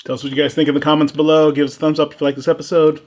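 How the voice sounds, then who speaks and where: A man's voice: on the high side, level tone, very fast, darkish tone. A man co-hosting a casual spirits review podcast, joking and laughing between his points. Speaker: podcast co-host.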